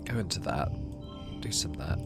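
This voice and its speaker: A man's voice: low voice, expressive, fairly quick, creaky, music in the background. A man giving casual gameplay commentary, often muttering to himself as he plays. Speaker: gaming host. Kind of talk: casual gameplay commentary mixed with reading game text aloud.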